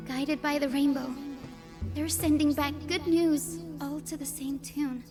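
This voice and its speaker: A woman playing a gentle young fantasy heroine in a game, with lyrical, earnest, dramatic delivery. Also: high-pitched, little pitch movement, unhurried. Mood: hopeful, tender. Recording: game audio under clear music.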